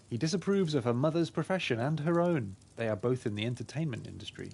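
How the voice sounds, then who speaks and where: A man's voice: mid-range voice, a little mellow, slightly rough. A man playing a pig detective in a video game, laying out his deductions in an expository, storytelling way. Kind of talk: scripted game dialogue.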